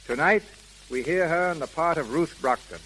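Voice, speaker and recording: A man hosting a radio theatre, reading scripted narration in a formal, measured 1930s showman style. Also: a little high, a bit bright. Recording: crackly, hissy 1930s radio recording.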